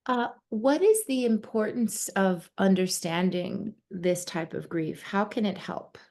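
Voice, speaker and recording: A woman's voice: medium pitch, measured pace, vocal fry, a little mellow. A woman hosting a conversation, asking questions with frequent "um"s. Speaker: host and advisor. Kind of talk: conversational interview.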